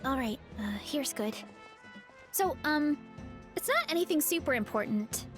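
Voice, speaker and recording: A woman playing a sweet, modest, earnest young woman in an anime game, speaking in a gentle, hesitant way. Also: high voice, expressive, a little slow. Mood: shy, grateful. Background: game music.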